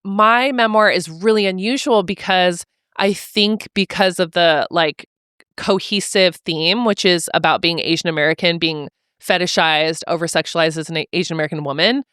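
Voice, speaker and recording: A woman's voice: lowish voice, normal speed, fairly bright tone, clean tone, dry recording, clean studio sound. A young adult woman guest on a podcast, talking casually and thoughtfully, with many fillers and restarts. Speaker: author guest. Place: mobile podcast studio.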